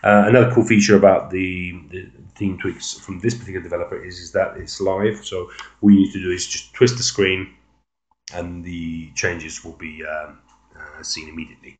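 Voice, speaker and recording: Very low-voiced man, casual tech walkthrough. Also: little pitch movement, normal speed, slight background noise. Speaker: amateur phone tech reviewer. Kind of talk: casual unscripted screen-recording walkthrough.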